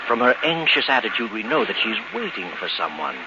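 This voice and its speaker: A man playing a sinister, mocking, all-knowing narrator of dark tales in 1940s radio style, ominous and theatrical. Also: fairly low pitch, sing-song, brisk, background noise. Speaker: narrator and host of a mystery series. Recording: muffled 1940s radio broadcast under loud music.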